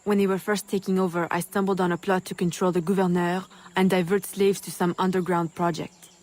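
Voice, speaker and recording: Actress as a low-voiced, composed woman assassin. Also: level tone, a little mellow, natural voice. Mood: composed, resolute. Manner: measured, self-assured. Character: composed, determined female assassin of 18th-century colonial Louisiana. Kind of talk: video game character acting.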